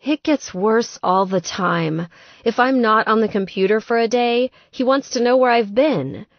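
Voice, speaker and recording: A woman's voice: a little high, lively intonation, a little slow, no echo, highs cut off, voice pushed up. A woman voicing a sweet, distressed young woman gamer in an audiobook, plaintive and exasperated. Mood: troubled. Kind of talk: audiobook character dialogue.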